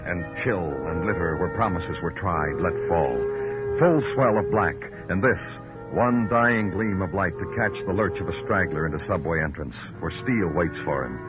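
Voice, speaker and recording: An actor playing a weary, brooding American police detective in a dramatic old radio crime drama, speaking in clipped, poetic phrases. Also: male voice, sits low. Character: weary, brooding male police detective narrating his case. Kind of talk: 1940s-50s radio crime drama acting. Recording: dull old radio recording, loud music.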